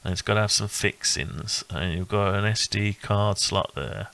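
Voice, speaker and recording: A man giving a casual, unscripted walkthrough of a hobby build, thinking aloud in a halting, rambling way. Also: fairly low pitch, vocal fry, a bit bright. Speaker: electronics hobbyist presenting own build.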